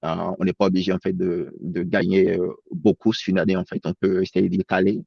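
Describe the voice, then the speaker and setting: A man's voice: low-pitched, little pitch movement, fairly quick, vocal fry, husky, dry recording. A man who grew up in France, presenting as an accountant in a webinar over a video call, hesitant and full of fillers and restarts. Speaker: accountant guest. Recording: dull lo-fi sound.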